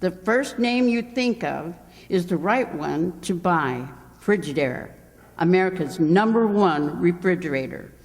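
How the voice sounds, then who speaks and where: A proud old-time radio announcer played by a woman, with a lowish voice and an earnest, promotional, declamatory delivery. The sound carries the long reverb of a hall. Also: expressive, a little slow. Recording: reverberant hall stage performance.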